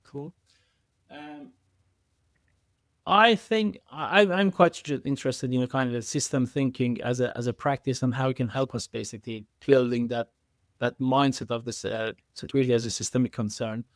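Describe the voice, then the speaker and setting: A man speaking as an expert podcast guest in a conversational way, haltingly, often repeating words and circling through filler phrases. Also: mid-range voice, no echo, studio quality. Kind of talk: conversational expert interview answers.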